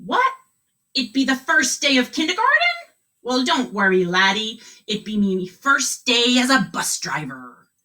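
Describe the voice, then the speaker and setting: A woman voicing a boastful, gruff male pirate bus driver who talks in pirate slang and growls "arg". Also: a little high, sing-song, measured pace, sharp tone, far from the mic, full character voice. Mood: blustering.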